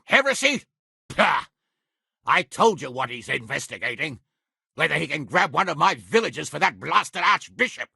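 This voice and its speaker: A hot-tempered medieval lord and commander from a video game. His voice is very forceful and hard-edged, gravelly and husky, with blunt, blustering delivery. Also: medium pitch, dry recording, studio quality, full character voice. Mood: angry. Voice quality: raspy, gravelly. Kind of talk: dramatic video game voice acting.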